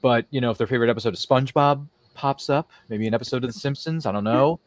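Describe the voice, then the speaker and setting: A man's voice: mid-range voice, fast talker, no echo. A man hosting a casual interview, chatting in a rambling way and slipping into quotes and little impressions. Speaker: show host.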